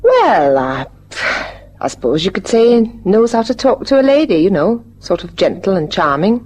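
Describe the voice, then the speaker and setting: An actress playing a trusting, talkative widowed housewife in a vintage radio drama, with faint noise on the recording. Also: female voice, medium pitch, big pitch swings, normal speed, darkish tone, breathy edge. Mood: confiding, chatty. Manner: rambling, conversational. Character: chatty, genteel widowed housewife, trusting and forthcoming.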